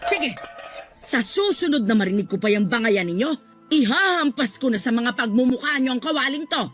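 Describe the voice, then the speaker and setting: Casting: strict middle-aged Filipino mom, excitable, highish voice with big swings, music underneath. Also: female voice, brisk. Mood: angry scolding. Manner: scolding, blunt, excitable. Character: strict, hot-tempered Filipino mother and new grandmother. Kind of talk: radio drama acting.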